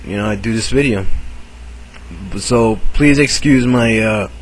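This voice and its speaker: A man with a cold and a sore throat, chatting casually to camera in a halting way, full of fillers and restarts. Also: lowish voice, heavy background noise. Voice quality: raspy. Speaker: video maker.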